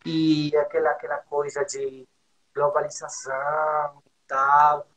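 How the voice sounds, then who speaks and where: A man's voice: a little high, little pitch movement, fairly bright tone, rough recording. A man from northern Mexico, a native Spanish speaker with a Mexican accent, talks casually as an interview guest, nervous and hesitant, often repeating himself. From Monterrey, northern Mexico. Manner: hesitant, halting, with frequent repetitions and slangy tags. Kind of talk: casual informal chat.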